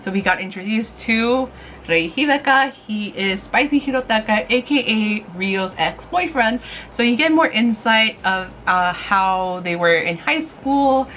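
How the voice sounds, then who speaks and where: A woman's voice: a little high, expressive, a little slow, fairly bright tone, a little hiss or hum. A woman talking casually and enthusiastically like a vlogger reviewing for her viewers, in a giggly, slangy, gushing fan manner. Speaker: YouTube host giving manga recommendations. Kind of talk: casual, chatty review to camera.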